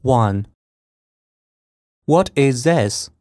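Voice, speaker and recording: A woman with an extremely low voice reads scripted items for a listening test. Her delivery is slow and deliberate, with each word carefully enunciated, and the sound is dry. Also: lively intonation, a little mellow, no echo. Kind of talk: scripted language-test reading.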